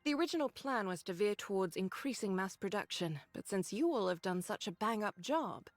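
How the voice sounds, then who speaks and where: Actress as female squad leader, game cutscene, mid-range pitch, measured, authoritative, formal exposition, music behind. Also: lively intonation, no room sound, close to an everyday voice. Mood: composed, explanatory. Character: composed female squad leader briefing her soldiers.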